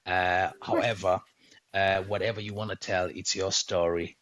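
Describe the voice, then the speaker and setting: A man's voice: deep voice, steady tone, moderate pace, fairly bright tone. A man with a South African accent hosting a podcast interview over a video call from home, chatty, with many fillers and restarts. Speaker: podcast host.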